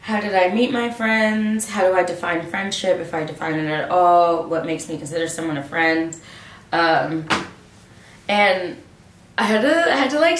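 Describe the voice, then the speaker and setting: A woman's voice: lowish voice, a little hiss or hum. Stuffy-nosed young woman in an echoey room. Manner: relaxed, musing, many "like" fillers. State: stuffy nose. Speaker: vlogger. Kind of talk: casual reflective monologue to camera. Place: small room indoors, seated in a hanging chair. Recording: roomy echo, mic at a distance.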